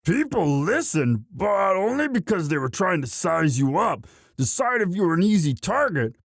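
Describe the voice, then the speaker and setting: A man playing a young orc, a gentle himbo sweetheart who talks in a halting, stammering, bashful and earnest way in a romantic roleplay audio. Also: high-pitched, big pitch swings, no echo, clean studio sound, heavily acted. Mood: earnest, reassuring.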